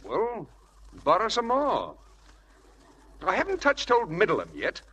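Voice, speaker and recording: An actor playing a charming, feckless young husband in a 1940s radio drama, flippant, glib and coaxing. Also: male voice, high-pitched, wildly swooping pitch, unhurried, a bit bright, slightly rough, heavily acted. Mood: flippant, evasive. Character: charming, feckless spendthrift husband, breezy and evasive. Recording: hissy old radio broadcast.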